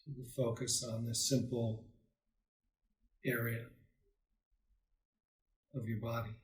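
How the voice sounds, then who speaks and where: A man leading a guided meditation as a teacher, calm and soothing, leaving long pauses between short phrases. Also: medium pitch. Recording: roomy echo, distant microphone.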